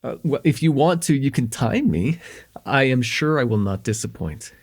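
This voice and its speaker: Man acting a smitten, husky young guy, halting and nervous. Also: medium pitch, expressive. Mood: playful, affectionate. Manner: halting, stammering, nervous, conversational. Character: shy, smitten young man confessing a crush, teasing, tender. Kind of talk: slice-of-life ASMR roleplay.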